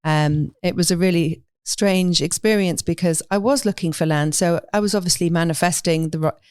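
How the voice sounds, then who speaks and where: A woman from London with a London English accent, talking thoughtfully and in a measured way as an interview guest. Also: fairly low pitch, steady tone, darkish tone, smooth, dry recording. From London, England. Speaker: podcast guest, animal sanctuary owner. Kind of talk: reflective interview conversation.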